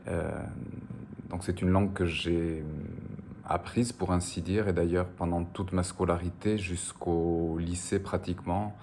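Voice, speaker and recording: Low flat gravelly guy, spanish is his first language, keeps stalling with fillers, faint background hiss. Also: measured pace. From French Basque Country, on the border. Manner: hesitant, many fillers and repeated words. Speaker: novelist being interviewed. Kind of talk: reflective interview answers.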